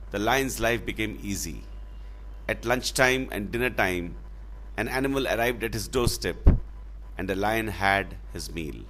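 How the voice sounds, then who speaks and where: Expressive male storyteller, very animated pitch. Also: slight background noise, low-quality recording. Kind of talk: dramatic storytelling of a children's fable.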